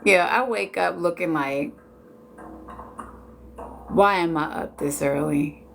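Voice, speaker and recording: A woman in her late forties chats with her viewers in an easygoing, joking way. She talks slowly, in a slightly low voice. A faint hum of noise sits behind her. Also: gravelly. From Washington D.C. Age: 40s. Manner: chatty, laid-back, joking. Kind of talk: casual chat with viewers.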